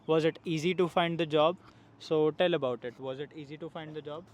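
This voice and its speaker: A man's voice: on the high side, fairly even intonation, a little fast. Young man hosting a casual chat. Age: young adult. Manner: friendly, asks questions, repeats himself. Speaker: host, international student. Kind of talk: casual conversation. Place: outdoors in a city, traffic nearby.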